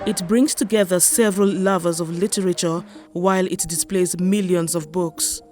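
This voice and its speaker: A woman's voice: lowish voice, fairly even intonation, moderate pace. A woman reading a scripted news report. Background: music.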